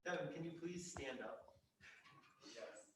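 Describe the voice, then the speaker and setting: A man's voice: on the high side, unhurried, fairly bright tone. A young man, a student senator, asking a playful question from the floor of a meeting. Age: young adult. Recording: echoey room, mic far off, faint noise.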